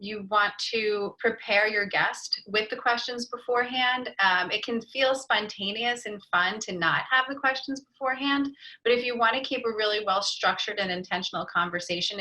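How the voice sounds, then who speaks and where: A woman giving conversational expert advice on a panel, in a measured, articulate, instructive manner. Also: mid-range voice, sharp tone, poor audio. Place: online video call.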